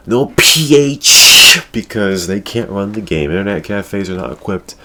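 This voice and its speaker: A man's voice: on the low side, animated, unhurried, sharp tone. A man hosting a casual gaming talk show, talking in a crude, slangy way and repeating his catchphrases. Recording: clear background noise.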